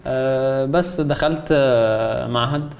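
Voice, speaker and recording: A man's voice: mid-range voice, slow, vocal fry, darkish tone. A man in his twenties with an Egyptian accent, a guest chatting casually and hesitantly, with many fillers and restarts. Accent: Egyptian Arabic. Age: 20s. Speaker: guest, concept artist. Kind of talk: casual chat.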